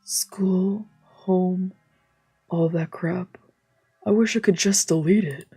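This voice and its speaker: A woman voices an androgynous young man, anxious and wistful, as in a visual novel. The voice is mellow and husky, confiding in halting casual phrases over faint music. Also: on the low side, steady tone, exaggerated voice. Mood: wistful, earnest. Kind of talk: reading visual novel dialogue aloud. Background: game music.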